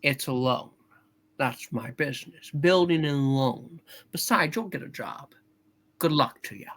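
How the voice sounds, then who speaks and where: A woman's voice: extremely low pitch, measured pace, voice pushed down, exaggerated voice. A woman voicing an earnest, harried young businessman, anxious and determined, in a hammed-up radio play. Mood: friendly.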